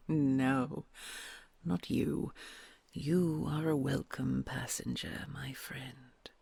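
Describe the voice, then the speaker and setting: A woman voicing a kind, gentle female red dragon queen in an ASMR audio roleplay, talking in a soft, soothing, mostly whispered way. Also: a bass voice, fairly even intonation, very slow, creaky, pauses often, slightly rough, no echo, full character voice. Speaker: voice actor.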